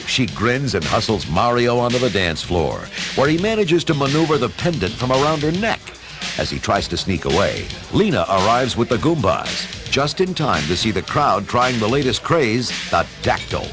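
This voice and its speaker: A man's voice: fairly low pitch, very animated, moderate pace. A man giving dramatic scripted narration as the narrator of a radio play. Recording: music behind the voice.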